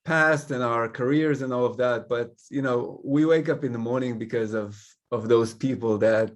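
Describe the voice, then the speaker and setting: A man from Israel, a Hebrew speaker, talking as an interview guest in a thoughtful, measured way with frequent fillers. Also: medium pitch. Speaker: guest, company CEO. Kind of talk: casual interview chat.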